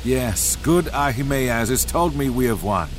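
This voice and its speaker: Man acting an anguished, slow-spoken grieving king. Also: mid-range voice, expressive, natural voice. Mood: anxious. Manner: anguished, heavy, drawn-out delivery. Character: aging king David, anxious father wracked with grief. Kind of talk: dramatized audio Bible story acting. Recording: loud music under the voice. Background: loud dramatic music.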